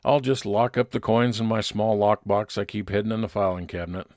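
A man's voice: on the low side, steady tone, brisk, darkish tone, no echo, clean studio sound. A man narrating a scripted first-person private investigator story in old-time radio detective style.

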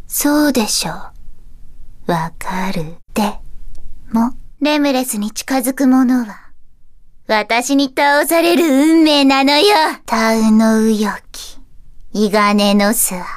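A woman playing an eerie, intense fortune-telling schoolgirl in a Japanese video game, lovestruck and menacing, ominous in delivery. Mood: suspicious. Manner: slow, ominous delivery with whispery drops and sudden shrill outbursts.

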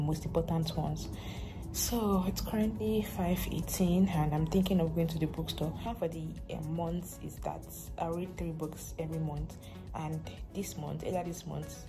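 A young woman with a Nigerian accent chatting casually to her phone camera as a vlogger, relaxed and rambling. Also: low-pitched. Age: young adult. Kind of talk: casual vlog chat to camera. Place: bedroom, in bed; also parked car. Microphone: phone held at arm's length. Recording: background music under the voice.

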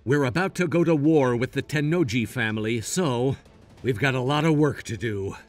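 A man voicing an earnest, loyal young gangster in an anime-style cartoon, presenting to viewers with animated delivery. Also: mid-range voice, moderate pace, faint music behind, lightly acted.

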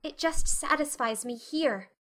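Storybook orphan girl done by a woman narrator: dreamy, imaginative, gushing, high-pitched and bright. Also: a little slow. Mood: rapturous. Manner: rapturous, effusive. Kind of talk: dramatic audiobook reading.

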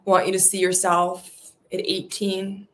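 A woman leading a guided affirmation meditation, speaking softly and soothingly with slow, repetitive phrases. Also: mid-range voice, monotone.

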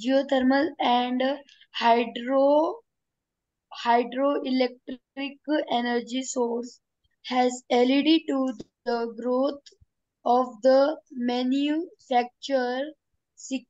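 A woman student on a video call slowly reading a text aloud, stumbling over words. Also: high-pitched, flat delivery, a little mellow, dull audio. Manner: halting reading with restarts.

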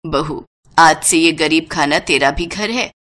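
A woman voicing a gently authoritative elderly village mother-in-law and grandmother in a cartoon moral story. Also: low voice, a little slow, voice pushed down. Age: older.

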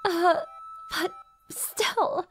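A woman's voice: very high-pitched, steady tone, extremely slow, a bit bright, soft background music, exaggerated voice. A woman voicing a clingy, jealous, lovestruck teenage girl in an anime-style romantic comedy dub, with emotional, dramatic delivery. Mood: tearful, relieved.

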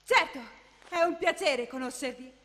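Woman as a bright, high-voiced earnest boy. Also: normal speed. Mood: excited. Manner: earnest, youthful. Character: earnest young boy soccer player, eager, sincere. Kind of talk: Italian fan dub of anime. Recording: long reverb, music behind.

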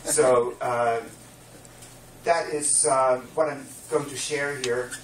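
A man's voice: medium pitch, steady tone, slow pace. A man in his fifties with a Dutch accent gives an earnest talk to a small audience, explaining things carefully with many fillers. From Netherlands. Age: 50s. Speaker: guest speaker. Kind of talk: public lecture. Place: small dim room with a projection screen, live audience. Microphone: distant camera mic. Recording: roomy, distant camera mic, faint room noise.

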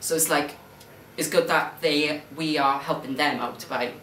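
A young woman, talking quickly and casually about youth reporting in an interview. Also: low voice, fairly even intonation, a bit bright, a little hiss or hum. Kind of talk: documentary interview. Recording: echoey room, faint music.

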